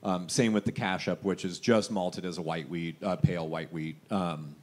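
A man's voice: low voice, fairly even intonation, normal speed. A man, a head brewer and guest on a stage panel, chatting casually with many fillers and restarts.

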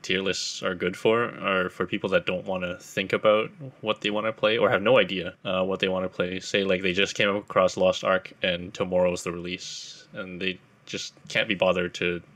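A man's voice: sits low, flat delivery, moderate pace, few pauses, a bit bright. A man talking casually as a panelist in a gaming podcast discussion.

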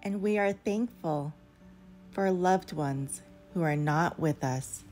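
A woman's voice: on the low side, slow, a little mellow. A woman reading a picture book aloud expressively for young children, as a storyteller. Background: background music.

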